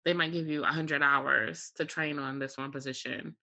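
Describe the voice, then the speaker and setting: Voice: mid-range voice, fairly even intonation, normal speed, sharp tone. An air traffic controller talking casually as an interview guest, explaining things step by step with examples. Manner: explains step by step with examples, frequent "you know" and "like".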